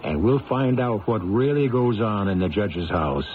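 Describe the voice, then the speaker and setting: A man's voice: on the low side, expressive, a little slow, a little hiss or hum. A man hosting a radio mystery drama with portentous, theatrical scripted suspense narration. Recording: dull lo-fi old radio, music behind.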